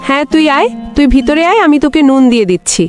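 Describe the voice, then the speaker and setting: A bossy nagging cartoon housewife, high swoopy voice, bit of music behind. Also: female voice, expressive, fast. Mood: friendly. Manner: nagging, sharp-tongued. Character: bossy scolding village wife, exasperated with lazy husband. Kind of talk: cartoon voice acting.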